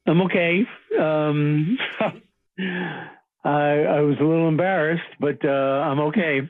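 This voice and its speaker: A man's voice: on the high side, steady tone, slow pace, dry recording. A man talking casually on the telephone in a hesitant, sheepish manner. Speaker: person called on radio show. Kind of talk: casual phone conversation. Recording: tinny, dry phone line.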